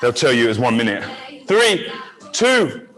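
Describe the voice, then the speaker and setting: A man working as a fitness instructor, leading a live high-intensity class with encouraging talk, countdowns and short barked cues. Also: big pitch swings, normal speed, a bit bright. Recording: music playing behind the voice.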